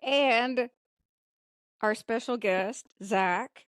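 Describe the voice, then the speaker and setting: A woman with a slightly high voice hosts a casual, bantering show. She is playful and teases her co-hosts. The recording is dry and close, with no room around her. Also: drawn-out words, smooth, dry recording. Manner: playful, teasing. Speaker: podcast host.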